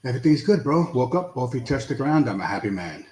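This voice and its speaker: A man's voice: mid-range voice, sing-song, quick pace, dark tone. A man in his fifties with a New York accent, a confident and upbeat political candidate guest who answers in short affirmations. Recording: echoey room with faint background noise.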